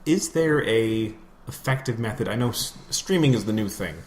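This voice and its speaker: A man's voice: fairly low pitch, animated, moderate pace. Wry man chatting, with background noise. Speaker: podcast co-host. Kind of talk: casual chat about video games.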